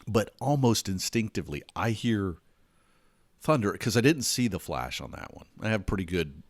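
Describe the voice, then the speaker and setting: Deep, animated man hosting a podcast. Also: moderate pace, dry recording, clean studio sound. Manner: rambling, self-interrupting, repeats words. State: recovering from a cold. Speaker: podcast host. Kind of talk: casual solo podcast talk.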